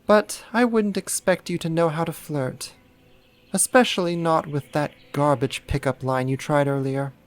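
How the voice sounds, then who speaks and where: A man's voice: a little high, moderate pace, natural voice. A man playing a slight, possessive, vain vampire in a roleplay audio drama, sarcastic, haughty and fussy. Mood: scornful. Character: puny snarky jealous male vampire, possessive and vain.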